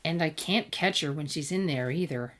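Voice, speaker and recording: A woman's voice: deep voice, normal speed. A woman voicing a frustrated, grumbling male teacher in a story. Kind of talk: storybook narration character voice.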